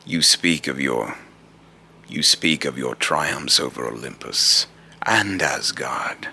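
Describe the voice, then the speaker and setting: A man's voice: extremely low pitch, vocal fry, bright, forceful tone, a little hiss or hum, full character voice. A man voicing a vengeful, grave god who judges mortals, speaking in solemn, accusing, taunting questions in a dramatic monologue. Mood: menacing, condescending. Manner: slow, solemn, deliberate, taunting rhetorical questioning. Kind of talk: amateur voice-acting monologue with retakes.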